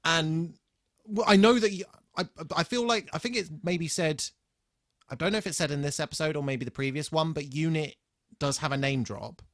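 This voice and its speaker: A man's voice: fairly high pitch, normal speed, fairly bright tone, no room sound, clean studio sound. A man co-hosting a podcast, talking casually through episode notes in a hesitant way with the odd swear word. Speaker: podcast co-host.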